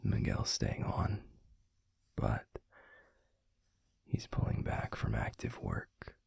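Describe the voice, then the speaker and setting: A man playing a gentle, protective werewolf boyfriend in an intimate audio roleplay, murmuring softly and soothingly with a breathy, gravelly voice. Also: rumbling low, sing-song, no room sound, exaggerated voice. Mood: subdued, matter-of-fact. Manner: slow, soothing, unhurried. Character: gentle, protective adult male werewolf boyfriend, pack leader.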